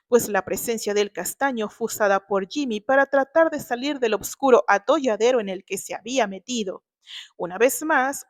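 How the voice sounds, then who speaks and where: High-voiced Mexican woman narrating drama. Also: no room sound, clean studio sound. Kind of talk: dramatic scripted story narration.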